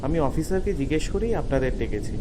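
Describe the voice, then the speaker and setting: A man's voice: on the high side, very animated, fast talker, noisy background. A man playing an anxious, shaken young friend in an audio drama, earnest and urgent. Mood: subdued, worried.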